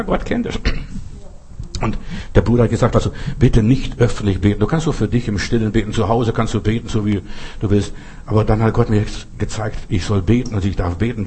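Earnest pastor, not a native German speaker. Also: male voice, fairly low pitch, darkish tone, slight background noise. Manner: earnest, exhorting, repeats phrases for emphasis. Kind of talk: sermon with personal stories.

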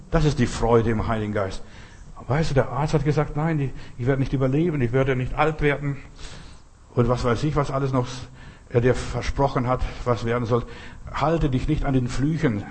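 A man's voice: mid-range voice, darkish tone, slightly rough. A man giving a charismatic sermon, stressing and repeating short phrases. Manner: emphatic, repeats phrases, cries hallelujah. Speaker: preacher.

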